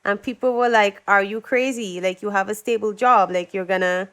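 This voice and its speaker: Young woman, singer guest, smooth clear tone, mid pitch, chatty and animated, acts out quotes. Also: moderate pace. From Dominica. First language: English. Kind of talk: casual interview storytelling.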